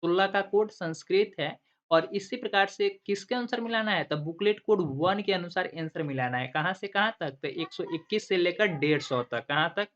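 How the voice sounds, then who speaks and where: A man's voice: high-pitched, expressive, moderate pace, fairly bright tone. A man teaching an online class as the channel host, walking through a screen tutorial and asking and answering his own questions as he goes. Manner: asks himself questions and repeats the answers like a teacher. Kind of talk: screen-recorded tutorial explaining step by step. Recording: dull lo-fi recording.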